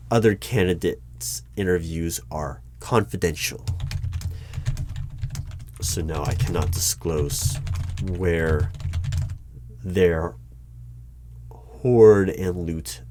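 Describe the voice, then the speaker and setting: Man acting a low, deadpan bureau hiring manager. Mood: formal, curious. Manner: formal, measured, deadpan. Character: formal, unflappable hiring manager of a secret bureau. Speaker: interviewer in a one-sided roleplay. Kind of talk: ASMR roleplay, mock job interview. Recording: clear noise with keyboard typing. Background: computer keyboard typing and clicks.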